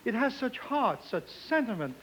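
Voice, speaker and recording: A man's voice: very high-pitched, sing-song, moderate pace. A man hosting a 1940s radio comedy show, wisecracking and eager, playing off a live studio audience. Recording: dull old radio broadcast with studio audience and hiss.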